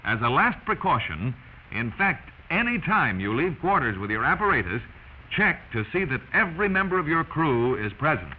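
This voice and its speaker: A man's voice: on the high side, big pitch swings, moderate pace. An American man narrating a 1940s instructional film in an emphatic, instructive manner, addressing the listener directly. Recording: muffled, noisy 1940s film soundtrack.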